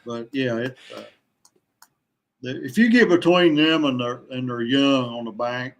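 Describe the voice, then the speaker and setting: A man chatting casually as a podcast guest, folksy and halting, often repeating his words. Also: medium pitch. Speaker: podcast guest, veteran kayak angler. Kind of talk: casual chat.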